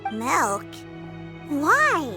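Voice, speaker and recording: A woman's voice: sits high, wildly swooping pitch, drawn-out words, over music, exaggerated voice. A woman voicing a polite, earnest young girl in a video game, sweet and a little hesitant. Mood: concerned, reassuring.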